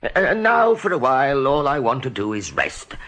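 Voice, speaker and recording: An actor playing a weary, dignified older rabbit officer in a radio play, formal and earnest, with some stammering. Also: male voice, mid-range voice, sing-song, normal speed, a bit bright. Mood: flustered, weary.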